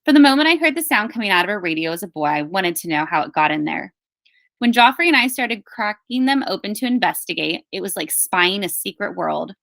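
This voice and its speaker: A woman reading a story aloud as scripted narration, in an expressive, emphatic storytelling manner like a teacher with a class book. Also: mid-range voice, brisk, gravelly, no room sound. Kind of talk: scripted audiobook-style narration of a children's memoir.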